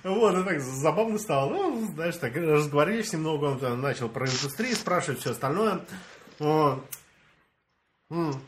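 Russian guy telling a story, voice jumps around a lot, slightly high, a bit of noise. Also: moderate pace. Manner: animated storyteller, acts out dialogue, laughs often. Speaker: storyteller among friends. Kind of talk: casual chat, telling an anecdote.

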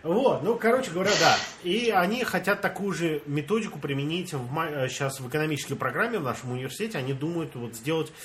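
A man's voice: a little high, fast, hardly any pauses, noisy background. A young man from Russia, telling a story in casual chat with friends and acting out the dialogue. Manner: animated storyteller, acts out dialogue, laughs often.

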